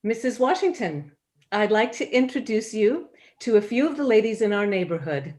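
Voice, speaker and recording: A woman's voice: mid-range voice, animated, normal speed, a little mellow, close to an everyday voice. A woman playing a gracious, fussy suburban hostess with genteel manners in a staged play reading. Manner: polite, genteel.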